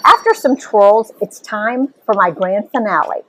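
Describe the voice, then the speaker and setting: A woman reading a story aloud as an expressive, animated narrator. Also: mid-range voice, a little slow, slight background noise, low-quality recording. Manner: animated storytelling with acted dialogue.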